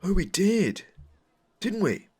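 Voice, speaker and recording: A man's voice: big pitch swings, takes it slowly, darkish tone, full character voice. A man playing a smitten, rambling suitor with a shady air in a comedic audio roleplay, stammering and overdramatic as he speaks to the listener. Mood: awestruck, flustered. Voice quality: low, gravelly, husky. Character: smitten, rambling, darkly suspicious man meeting his crush.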